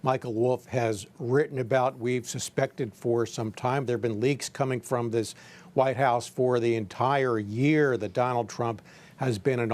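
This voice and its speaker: Man, political correspondent, average pitch, emphatic, news panel commentary. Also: a little mellow.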